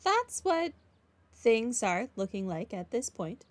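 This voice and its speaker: High, animated woman gamer. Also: slow pace, slight background noise. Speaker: gamer doing a playthrough. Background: game music.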